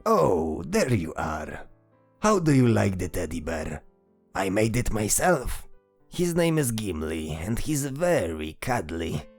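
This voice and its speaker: A man voicing a gentle old monster uncle who talks soothingly to a child in a fantasy audio drama, with a husky voice. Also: lowish voice, big pitch swings, heavily acted. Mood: warm, playful. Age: older. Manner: soothing, reassuring, speaks slowly to a child. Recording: music behind the voice.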